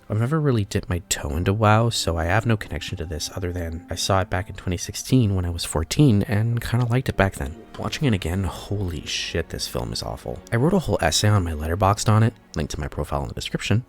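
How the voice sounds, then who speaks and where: A man in his early twenties, nasal and stuffy from a sinus infection, reading blunt, opinionated film reviews in a scripted voice-over. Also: low-pitched. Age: early 20s. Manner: blunt, opinionated verdicts with scores.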